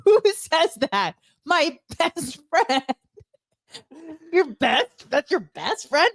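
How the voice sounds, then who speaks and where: A young woman chatting casually and telling gossipy stories in an animated, blunt, teasing way, acting out dialogue as she goes. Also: high-pitched, extremely slow, gravelly, no room sound, clean studio sound. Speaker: radio show co-host. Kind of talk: casual chat, gossipy storytelling.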